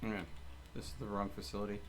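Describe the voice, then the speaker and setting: Low-voiced man streaming a game, noisy background. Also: monotone, quick pace. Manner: thinks aloud, muttering to himself. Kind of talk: casual live gameplay commentary. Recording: clear background noise.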